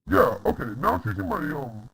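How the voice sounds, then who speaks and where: A young man casually chatting while streaming a game from home, reacting out loud to the game and to chat. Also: medium pitch, big pitch swings, fairly quick, slight background noise, low-quality recording. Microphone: laptop microphone.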